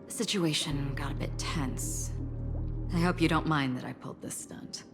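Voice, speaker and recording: A woman plays a low-pitched, enigmatic female wanderer from a video game. She speaks with polite, solemn reserve and measured calm, while music plays clearly behind her. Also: lightly acted. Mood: polite, reserved.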